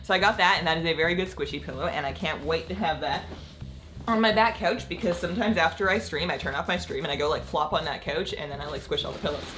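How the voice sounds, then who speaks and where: Chatty, slightly croaky woman vlogger. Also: low-pitched, quick pace, background noise. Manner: chatty, rambling, many "like" fillers, laughs often. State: slightly croaky, losing her voice. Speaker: gaming content creator. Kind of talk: casual vlog chat.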